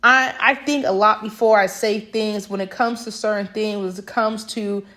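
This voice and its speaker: A woman's voice: mid-range voice, fairly even intonation, normal speed, bright, forceful tone. A young woman talking straight to camera in a passionate, emphatic monologue that rambles with restarts. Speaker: vlogger.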